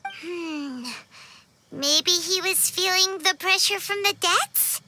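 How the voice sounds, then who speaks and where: A woman voicing a tiny, cute, childlike fairy companion in a video game, with a squeaky voice and a naive, excitable manner. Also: slow, a little hiss or hum, full character voice. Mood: curious. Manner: speaks of herself in third person, hesitant, cutesy.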